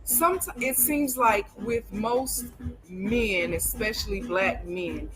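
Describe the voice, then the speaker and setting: Slow-talking woman interview host. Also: mid-range voice, music in the background, poor audio. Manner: unhurried, thinking aloud with fillers. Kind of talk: interview podcast.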